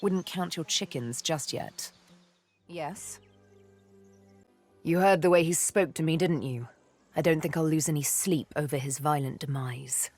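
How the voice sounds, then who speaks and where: A woman's voice: sits low, a little slow, pauses often, darkish tone, faint music behind. A woman playing a cool, sardonic, vengeful elf assassin in a fantasy game, poised, wry and intimate. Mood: wry, guarded. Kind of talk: fantasy video game acting.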